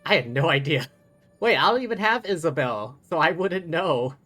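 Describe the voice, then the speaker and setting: A man's voice: fairly high pitch, animated, moderate pace, sharp tone, soft background music. A man livestreaming a game with casual commentary, chatty and thinking aloud. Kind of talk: casual live stream commentary.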